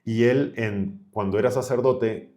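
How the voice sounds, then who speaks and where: A man's voice: medium pitch, a little slow, dark tone. A man of thirty-five from Chile, a priest talking colloquially as a podcast guest. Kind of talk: conversational podcast interview.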